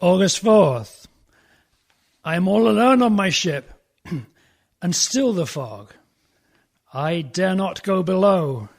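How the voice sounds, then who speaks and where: An actor in a radio horror play playing a grim, god-fearing sea captain, solemn and anguished. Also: male voice, high-pitched, animated, takes it slowly, gravelly, long pauses, full character voice. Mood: anguished dread.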